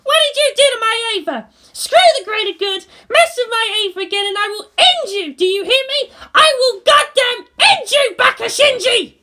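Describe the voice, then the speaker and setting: Shrill, high-pitched fiery teenage girl pilot. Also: animated, normal speed, hardly any pauses, pushed and bright, heavily acted. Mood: furious. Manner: shrill, combative, emphatic.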